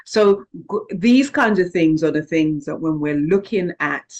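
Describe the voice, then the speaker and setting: A woman's voice: deep voice, animated, a little slow, a little mellow, dull audio. A woman from the Caribbean moderating a casual online group discussion, thoughtful and prone to restarting her sentences. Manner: thoughtful, with frequent restarts and repeated words. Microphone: video call.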